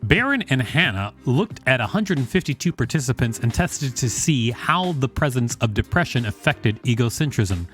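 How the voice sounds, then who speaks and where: A man's voice: medium pitch, sing-song, moderate pace, few pauses, a bit bright, faint music behind. A man co-hosting a podcast, reading an encyclopedia article aloud with informal, joking banter. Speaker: podcast co-host.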